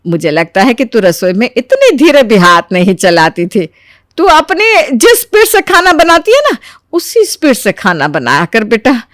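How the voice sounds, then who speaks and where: A woman's voice: fairly high pitch, very animated, normal speed, no room sound, studio quality. A woman voicing a scheming, dramatic older mother-in-law in a cartoon story, theatrical and falsely sweet. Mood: coaxing. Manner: theatrical, exaggerated. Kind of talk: animated Hindi moral story voice acting.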